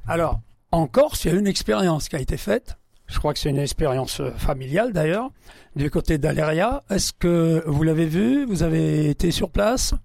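A man hosting an interview, asking questions in a lively, conversational way. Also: on the high side, moderate pace, vocal fry, no echo. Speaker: interviewer, radio host.

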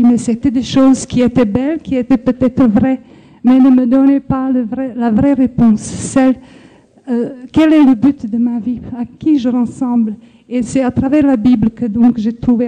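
Earnest older woman, very bright high voice. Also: poor audio. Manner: slow, earnest, reflective with hesitations. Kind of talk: personal faith testimony.

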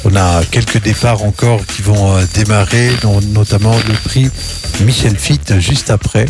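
A man's voice: fairly low pitch, steady tone, a little slow. A man hosting live at a racecourse, commenting in a jovial, informal, bantering way. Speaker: racecourse announcer and host. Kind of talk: live event commentary, casual chat. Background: music.

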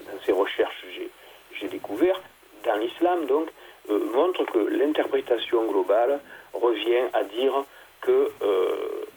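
A man, a theology guest, explaining at length in a halting conversational way, with many fillers and restarts. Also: mid-range voice, a little mellow, husky, rough recording.

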